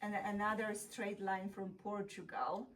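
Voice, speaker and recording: A woman's voice: mid-range voice, fairly even intonation, unhurried, fairly bright tone. A woman with a Polish accent teaching an informal class, encouraging her learners as she goes. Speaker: language and culture teacher. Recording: distant mic, some background noise and clicks.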